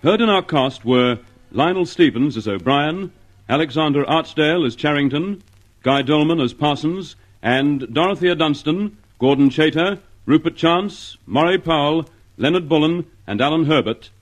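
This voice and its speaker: A man announcing in formal, measured vintage radio style, reading out programme credits. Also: on the high side. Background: music.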